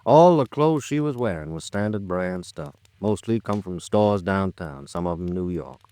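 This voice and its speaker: An actor playing a skeptical, plain-spoken police lieutenant in an old-time radio noir drama, with an American accent and a folksy, probing way of questioning. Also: male voice, deep voice, lively intonation, normal speed, warm tone, clean tone, no room sound. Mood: weary, businesslike. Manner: folksy, probing, drops words and endings. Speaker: police detective questioning a witness.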